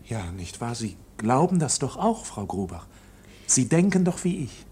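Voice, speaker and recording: A man's voice: mid-range voice, sing-song, measured pace, warm tone, husky, exaggerated voice. A man playing an anxious, proud clerk in a radio drama, polite but uneasy and insistent. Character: anxious, proud male bank clerk under arrest.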